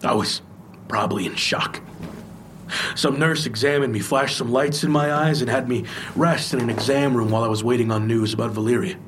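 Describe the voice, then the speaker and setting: An actor playing a guilt-ridden, bitter, grieving man in an audio drama, confessing in a heavy, halting way. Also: medium pitch, a bit bright, slight background noise, natural voice. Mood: numb, confessional. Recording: music playing under the voice.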